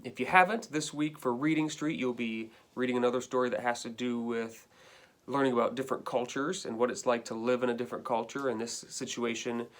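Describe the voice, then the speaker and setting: A man speaking as an elementary school teacher, giving pupils an informal, conversational update with some hesitation. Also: mid-range voice. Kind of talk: informal remote-learning announcement to pupils.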